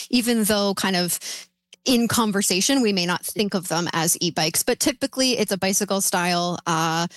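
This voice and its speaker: A woman's voice: mid-range voice, dry recording. Woman explaining carefully, dry sound. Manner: careful, hedged, informal explaining. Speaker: expert guest. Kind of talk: explanatory interview answers.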